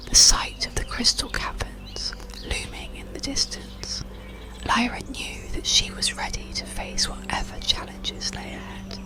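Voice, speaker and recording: Very slow, whispering bedtime story narrator. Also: medium pitch. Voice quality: breathy whisper. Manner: calm, unhurried, soothing. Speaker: audiobook narrator.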